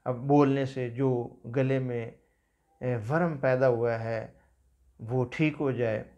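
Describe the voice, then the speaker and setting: A man in his fifties with a Pakistani Urdu accent, giving a calm, measured talk on home remedies from a small office. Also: mid-range voice. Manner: measured, unhurried explanation with religious phrases. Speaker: herbal medicine practitioner. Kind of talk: calm instructional health talk. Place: small office seated at a desk.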